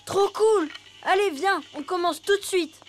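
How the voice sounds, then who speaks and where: A young cartoon elephant boy with a high voice. He is excitable and boastful, yet whines when discouraged, and is always in a hurry. Music plays clearly behind him. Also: unhurried. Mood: excited. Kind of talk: French cartoon dubbing.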